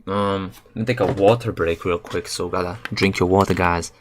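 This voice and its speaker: A young man from Serbia chatting casually on a live stream while he builds a game level, rambling in a relaxed, slangy way with lots of fillers and self-corrections. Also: low-pitched, moderate pace, slight background noise. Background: keyboard and mouse clicks.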